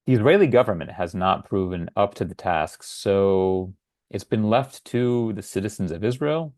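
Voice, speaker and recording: Podcast host, middle-aged American man, lowish voice, earnest and conversational, dry close mic. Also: darkish tone, dry recording. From United States. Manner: conversational, earnest, with occasional uh fillers. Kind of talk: solo podcast monologue.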